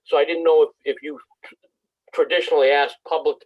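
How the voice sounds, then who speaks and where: Man in the public, rambling, apologetic, slightly high voice on a muffled line. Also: little pitch movement, brisk. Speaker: member of the public. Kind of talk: public comment at a meeting. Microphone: video call. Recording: muffled video-call audio.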